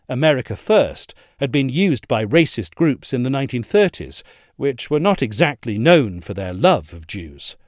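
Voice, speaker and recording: Dry, close-sounding male essay narrator, animated pitch. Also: dry recording. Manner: measured, formal delivery. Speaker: reader of an audio essay. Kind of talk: scripted narration of a political essay.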